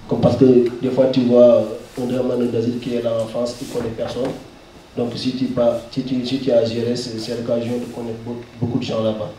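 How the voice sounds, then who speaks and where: A very echoey, distant recording of a man with a Mauritanian accent. His voice is mellow, and he speaks haltingly, repeating words as he gives his testimony at a press conference. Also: medium pitch, flat delivery. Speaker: guest speaker, refugee giving testimony. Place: indoor press conference room. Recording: roomy echo, distant mic, faint noise.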